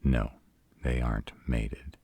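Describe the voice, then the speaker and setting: A man's voice: a bass voice, little pitch movement, slow, mellow, breathy edge, no room sound, full character voice. A man playing a sarcastic, proud city-bred vampire in a scripted audio roleplay, with dry, sardonic delivery. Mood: bitter, sardonic. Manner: sardonic, dry, rhetorical questioning.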